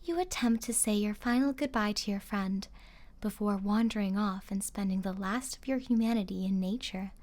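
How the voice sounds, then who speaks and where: A woman's voice: medium pitch, normal speed, darkish tone. A woman streamer giving scripted story narration for an ASMR roleplay.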